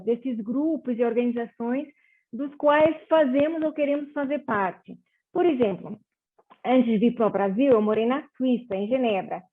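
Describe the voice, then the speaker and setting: A woman from Portugal speaks with a Portuguese accent that carries Brazilian tones. Her voice sits a little high, and she talks in an earnest, measured way with small pauses. The sound comes over a thin, phone-like video call line. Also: slightly rough. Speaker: panel moderator. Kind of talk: reflective panel talk.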